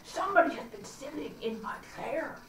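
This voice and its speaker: Woman playing a great gruff storybook bear, echoey. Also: sits high, expressive, moderate pace, a little hiss or hum. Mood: gruff complaint. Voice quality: rough, gruff. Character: great huge gruff father-like bear in a fairy tale. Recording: echoey room, distant mic.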